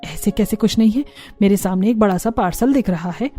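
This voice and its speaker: Woman playing a strict, mellow-toned scolding mother-in-law. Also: medium pitch, a little fast, faint music behind, close to an everyday voice. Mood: suspicious. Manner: scolding, interrogating. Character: strict, suspicious middle-aged Indian mother-in-law. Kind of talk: Hindi cartoon family story.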